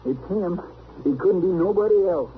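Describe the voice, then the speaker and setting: A man's voice: high-pitched, moderate pace, lightly acted. A man playing an anxious, folksy marshal's sidekick with a Southern American drawl in an old radio western. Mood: alarmed. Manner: folksy drawl, fretful, exasperated. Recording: noisy, tinny old radio recording.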